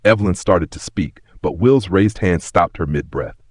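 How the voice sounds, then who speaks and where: Deep-voiced dramatic male story narrator. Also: moderate pace, dry recording, studio quality. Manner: suspenseful, emphatic delivery.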